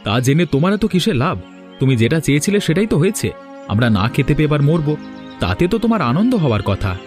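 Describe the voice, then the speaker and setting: A man's voice: a little high, big pitch swings, fast, a little mellow, faint music behind. A man voicing a hard-up, determined village snack seller, earnest and outspoken, in a cartoon. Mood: indignant. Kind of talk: Bengali moral-story cartoon dubbing.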